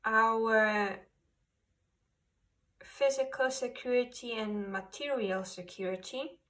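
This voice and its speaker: A woman tarot reader who speaks English as a second language. She talks slowly and haltingly, searching for her words as she guides listeners through a spiritual card reading. The microphone sits some distance away from her. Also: fairly high pitch, fairly bright tone. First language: not English.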